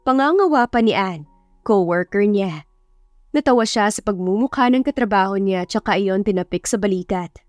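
A woman's voice: medium pitch, lively intonation, moderate pace, darkish tone, no room sound, clean studio sound, soft background music. A woman with a Filipino accent narrating a scripted story in a dramatic storytelling style. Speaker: audiobook narrator.

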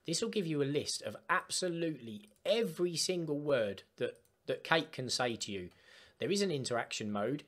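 A man chatting casually through a step-by-step software tutorial for gamers. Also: a little high. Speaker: gaming tutorial host. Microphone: desktop microphone, voice-over of screen capture.

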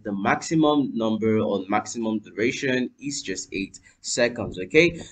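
Lively young man walking through a tutorial. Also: mid-range voice. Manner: lively, addresses viewers as "you guys", spells out letters while typing. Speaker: tutorial host. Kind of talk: screen-recorded software tutorial. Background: keyboard typing.

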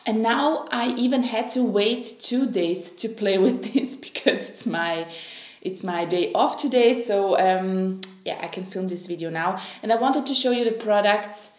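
Croatian-born swiss woman in her 30s doing an unboxing, slow talker, gushy and sighing, echoey room. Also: medium pitch. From Switzerland. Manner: excitable, gushing, with sighs and small laughs. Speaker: beauty vlogger. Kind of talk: unboxing review to camera. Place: home room, indoors.

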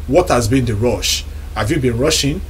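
A young man with a Nigerian accent hosting a studio talk show, measured as he relays news and asks questions. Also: medium pitch, animated, a little hiss or hum. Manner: measured, reports news then poses questions. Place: TV studio lounge set.

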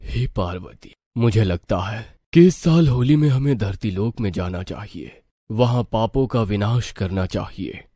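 A man voicing a calm, solemn god who speaks in a measured way in an animated devotional story. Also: on the low side, dry recording.

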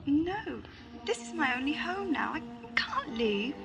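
A woman's voice: high voice, sing-song, unhurried, vocal fry, highs cut off, over music, exaggerated voice. A woman playing a weary, timid settler in a sci-fi audio drama, faint and plaintive. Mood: weary, pleading. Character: weary, timid young female settler, pleading and helpless.

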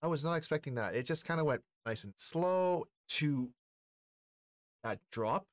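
A man's voice: a little high, expressive, measured pace, a little hiss or hum. A man in his forties giving casual, slangy reaction commentary to camera as a host. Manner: slangy, informal. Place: small indoor streaming room.

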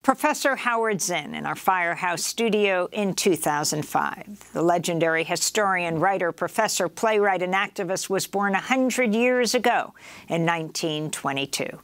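An older woman hosting a news program, reading a scripted introduction in a measured, formal way from a studio. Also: on the low side, a bit bright, no echo. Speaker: news host.

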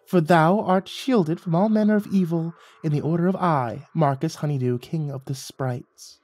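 A man's voice: a little high, normal speed, a little mellow, voice pushed up, lightly acted. A man voicing a tiny, imperious sprite king who speaks in pompous, scornful, archaic proclamations in a fantasy story. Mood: ceremonial.

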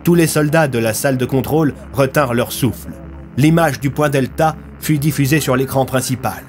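A young adult man narrates scripted fiction in a dramatic storytelling style. His voice sits at a medium pitch, with soft music running underneath. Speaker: narrator of an audio fiction series.

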